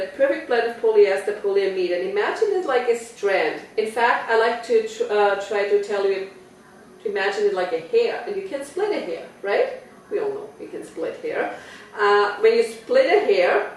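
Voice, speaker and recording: A woman's voice: mid-range voice, very echoey, distant mic, rough recording. A woman from Austria with an Austrian German accent, giving a chatty product demonstration in a living room and asking her listeners questions. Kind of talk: home party product talk. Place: living room of a private house.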